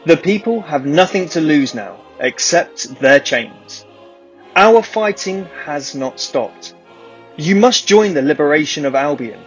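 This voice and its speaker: Zealous revolutionary broadcaster over music. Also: male voice, on the high side, lively intonation, unhurried, lo-fi sound. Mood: grave, commanding. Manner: solemn, declamatory. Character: zealous male revolutionary propagandist broadcasting liberation orders. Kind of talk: radio propaganda address.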